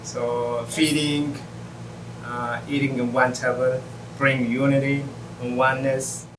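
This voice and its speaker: A chef and restaurant owner introducing dishes at a shared meal, speaking haltingly with frequent pauses and "uh"s. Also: male voice, medium pitch, little pitch movement, far from the mic, noisy background. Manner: warm, halting, with many uhs.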